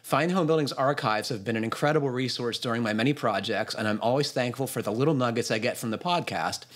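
A man's voice: mid-range voice, fast talker. A man hosting a podcast on a video call, chatting casually and reading aloud. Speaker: podcast host. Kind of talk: casual chat and reading a listener email aloud. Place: indoor room on a video call.

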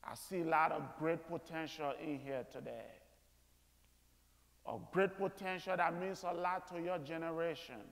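A man in his thirties preaching a sermon from an auditorium stage in an earnest, deliberate manner. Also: a little high, vocal fry, a bit bright. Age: 30s. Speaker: guest chapel speaker. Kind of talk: sermon, preaching to a school chapel. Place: large auditorium stage, indoors. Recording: echoey auditorium with long reverb.

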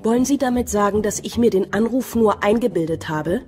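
A woman's voice: medium pitch, fairly quick, a little mellow, soft background music, close to an everyday voice. Actress as a guarded, prickly, proper lady. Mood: indignant. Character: reclusive wealthy woman, proper, wary, easily affronted. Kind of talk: German radio thriller acting.

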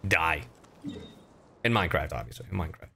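Animated young male livestreamer, bright voice. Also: rumbling low, brisk, creaky, a little hiss or hum. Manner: joking, profane, chatty. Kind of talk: casual game commentary.

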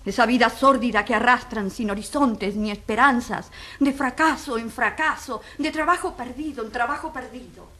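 An actress playing an earnest, impassioned advocate addressing a jury with emphatic rhetorical questions in a radio courtroom drama. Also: female voice, on the high side, moderate pace, few pauses, bright, forceful tone.

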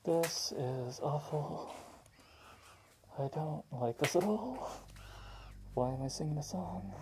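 A man exploring a large dark abandoned store, muttering casual, jumpy comments to a handheld camera. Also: fairly high pitch, animated, slow pace. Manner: jumpy, muttering, sometimes whispering. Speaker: urban explorer. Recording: camera audio with clear background noise.

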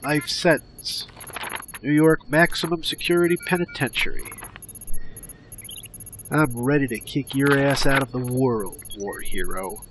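An actor playing a gruff, blunt, profane police commissioner and ex-special-forces veteran in an audio drama. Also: male voice, mid-range voice, measured pace, long pauses, natural voice. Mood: cold, businesslike. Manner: barking orders, blunt, profane. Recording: clearly noisy audio drama track.

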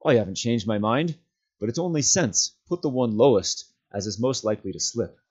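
A man's voice: mid-range voice, expressive, moderate pace, a little mellow, natural voice. A loyal, gloomy country hobbit servant, acted by a man reading a storybook. He is plain-spoken and folksy, given to grumbling. The sound is a touch dull. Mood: gloomy, stubborn.

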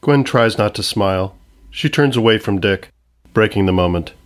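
A man's voice: on the low side, normal speed. A man giving scripted narration, reading out stage directions for an audio comedy.

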